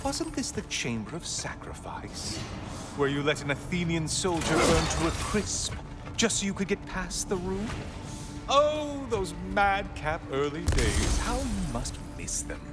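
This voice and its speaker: A sardonic sun god, a talking severed head, taunts with theatrical sarcasm. The voice sits fairly high for a man and swoops wildly up and down. Loud game music plays underneath. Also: very animated, unhurried. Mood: mocking. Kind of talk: video game voice acting.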